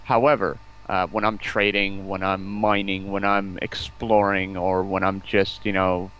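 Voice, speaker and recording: A man's voice: low voice, little pitch movement, measured pace, a bit bright, poor audio. A man talking casually as a guest in a stream interview, explaining at length in an enthusiastic, rambling way. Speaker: stream guest, community outreach representative. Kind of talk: casual interview talk about game streaming.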